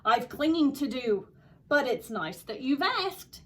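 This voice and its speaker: A woman's voice: high-pitched, big pitch swings, unhurried, a bit bright, exaggerated voice. A woman voicing a timid, stubborn male koala, hesitant and pleading, in a children's storybook. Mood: reluctant. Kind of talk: children's storybook read-aloud.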